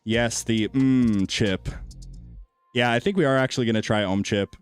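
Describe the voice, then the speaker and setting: A man's voice: medium pitch, moderate pace, clear, resonant tone, no room sound, soft background music. A man streaming a game and chatting casually with viewers, laid-back and thinking aloud, with a soothing voice. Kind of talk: casual gameplay commentary with chat.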